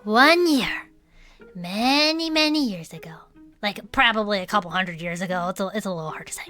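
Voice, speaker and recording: A woman's voice: mid-range voice, expressive, moderate pace, pushed and bright, faint music behind. A woman voicing an eager, chatty cryptid hunter who tells local legends with theatrical suspense on an in-character podcast. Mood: ominous, gossipy. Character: Herb, eager cryptid-hunting storyteller, chatty and dramatic.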